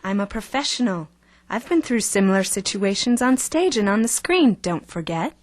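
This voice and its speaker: A woman's voice: medium pitch, expressive, normal speed, dry recording, lightly acted. Woman acting as a cool, seductive actress, dry sound. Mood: composed. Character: cool, seductive, self-possessed female actress with ruthless streak. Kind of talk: audio drama acting.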